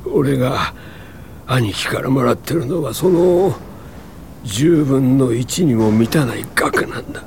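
Halting, gravelly, ailing male patient. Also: mid-range voice, animated, a little hiss or hum. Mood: indignant. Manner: halting, coughing, plain-spoken and earnest. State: ill, coughing, breathless. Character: sickly, earnest male tuberculosis patient fighting in court. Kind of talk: radio drama acting.

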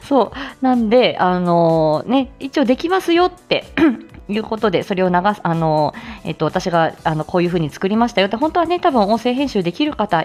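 A woman's voice: a little high, darkish tone. A woman hosting a casual live audio show, chatting with and greeting listeners and laughing often as she talks. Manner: laughs often while talking.

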